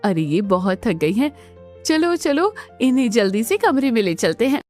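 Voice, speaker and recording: A woman's voice: fairly high pitch, sing-song, moderate pace, darkish tone, faint music behind. A woman voicing kind, caring women of a family in a Hindi cartoon, speaking emphatically and expressively. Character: kind, indulgent mother and her caring, chirpy daughters.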